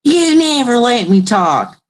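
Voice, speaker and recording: A man's voice: very high voice, sing-song, slow, voice pushed up, exaggerated voice. A man voicing a timid but indignant farmer's wife in an exaggeratedly feminine, plaintive way for a mock radio play.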